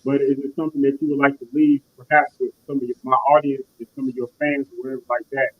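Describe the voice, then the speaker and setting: A man's voice: medium pitch, level tone, quick pace, slight background noise. A man hosts an interview in a loose, rambling way, filling his thoughts with repeated asides. His voice comes through a thin, phone-like line. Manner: rambling, repeats "you know what I mean". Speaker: interview show host. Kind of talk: casual interview chat.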